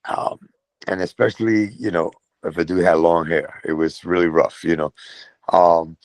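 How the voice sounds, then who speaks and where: A middle-aged man from Brooklyn with a New York accent, telling profane, animated stories as a guest on a podcast. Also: sits low, moderate pace, dry recording. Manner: profane, animated storytelling with voiced quotes. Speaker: guest, metal vocalist. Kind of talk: casual storytelling.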